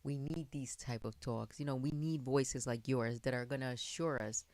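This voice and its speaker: A woman's voice: very deep voice, steady tone, normal speed, a little mellow. A woman hosting an interview, speaking in a heartfelt, emphatic way. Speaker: podcast host. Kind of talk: interview conversation.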